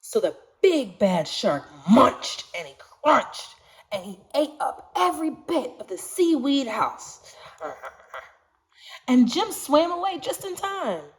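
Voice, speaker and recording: A woman reads a children's story aloud as its narrator. She takes her time, pacing each phrase very deliberately. Her pitch rises and falls in an animated, expressive storytelling style.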